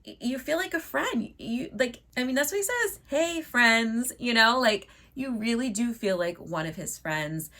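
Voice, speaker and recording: A woman vlogger chatting casually and upbeat to her viewers, her voice a bit hoarse from losing it. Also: fairly high pitch, animated, unhurried, few pauses, bright, forceful tone. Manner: chatty and upbeat, many fillers like "like". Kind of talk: casual chat to camera.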